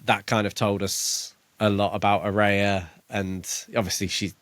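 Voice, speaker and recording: A man's voice: on the low side, level tone, a little slow, fairly bright tone, no room sound. A man co-hosting a podcast, casually discussing a book in a chatty, jokey way. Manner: chatty, jokey, prone to laughing. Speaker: podcast co-host.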